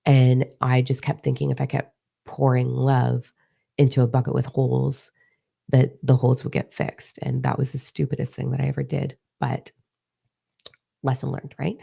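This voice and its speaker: Hoarse woman, candid and chatty. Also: very deep voice, monotone, moderate pace, dark tone. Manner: candid, swears freely, chatty and confiding. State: hoarse, partly lost voice. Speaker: spiritual teacher. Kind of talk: casual spiritual talk to followers.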